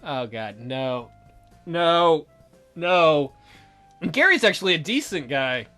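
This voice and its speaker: A man's voice: on the high side, very animated, slow pace, bright, forceful tone, soft background music. A man reading a visual novel aloud as a let's play host, in a theatrical, jokey way with his own ad-libbed commentary.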